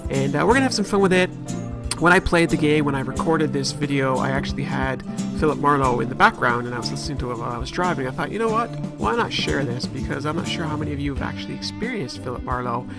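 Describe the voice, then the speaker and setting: A man hosting a gaming video, giving a casual introduction as a voice-over. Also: on the high side. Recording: voice-over under loud plucked guitar music. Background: loud guitar music.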